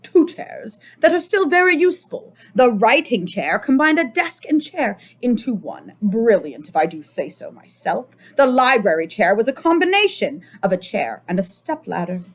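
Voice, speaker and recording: A woman's voice: on the high side, big pitch swings, normal speed, few pauses. A woman voicing a proud, boastful old male inventor in a children's picture book read-aloud, theatrical and pleased with himself. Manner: self-congratulating, theatrical.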